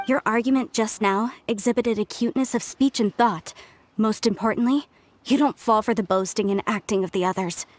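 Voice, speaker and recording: A woman's voice: medium pitch, fairly quick, natural voice. An actress playing a polite, earnest and inquisitive female scholar in a video game, speaking deferentially. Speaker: game character voice actor. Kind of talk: scripted game dialogue.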